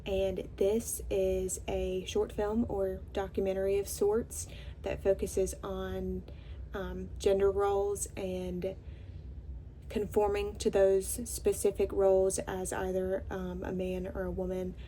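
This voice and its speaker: Soft mellow woman introducing her documentary. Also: medium pitch, monotone, takes it slowly, a little hiss or hum, rough recording. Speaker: filmmaker and presenter. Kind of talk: informal spoken documentary introduction.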